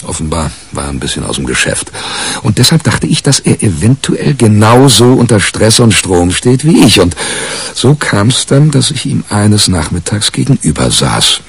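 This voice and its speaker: An actor playing a disgruntled, self-mocking newspaper reporter in a radio crime drama, colloquial and grumbling. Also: male voice, on the low side, sing-song, moderate pace, creaky, slightly rough, heavily acted. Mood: rueful, self-mocking. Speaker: actor narrating as the lead character.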